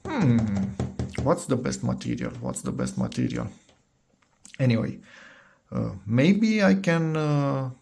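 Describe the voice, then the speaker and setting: A man's voice: mid-range voice, gravelly, a little mellow. A man giving a casual, unscripted product demo, hesitating and thinking aloud as he goes. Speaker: DIY electronics video maker.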